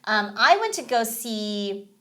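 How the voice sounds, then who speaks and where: A woman's voice: on the high side, very animated, slow pace, pushed and bright. A woman co-hosting a gaming podcast, chatting casually with a rambling, filler-heavy manner. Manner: chatty, rambling, many fillers like "you know". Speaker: podcast co-host. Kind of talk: casual chat.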